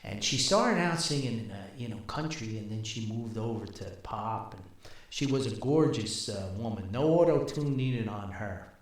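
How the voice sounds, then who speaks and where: An older man telling a rambling, casual story as a podcast host, with a folksy, wry, halting manner. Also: on the low side. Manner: folksy, wry, animated, halting with long asides. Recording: very echoey, reverberant room.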